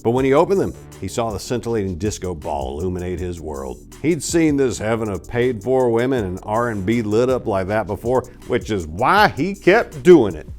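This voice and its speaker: Theatrical, animated man narrating a story. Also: on the low side, normal speed, few pauses, soft background music. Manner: theatrical, emphatic. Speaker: channel host and story narrator. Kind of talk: dramatic story reading, scripted.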